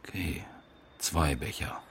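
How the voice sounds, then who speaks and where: Very deep, brusque detective. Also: male voice, slow, a bit bright, slight background noise, heavily acted. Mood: terse, probing. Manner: terse, probing questions. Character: brusque, brooding male lead detective. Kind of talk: naturalistic radio crime drama acting.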